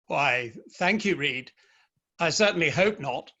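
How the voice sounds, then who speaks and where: A man's voice: fairly high pitch, expressive, unhurried, pushed and bright, dull audio, heavily acted. A man in an online play reading, playing a modest, wry middle-aged Victorian novelist at his club. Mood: wry self-deprecation.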